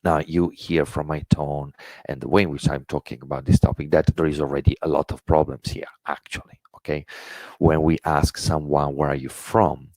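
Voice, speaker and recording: A man's voice: a bass voice, flat delivery, moderate pace, a little mellow, dry recording. A middle-aged man from Italy with an Italian accent, giving an earnest webinar lecture with many fillers and restarts. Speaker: intercultural education presenter.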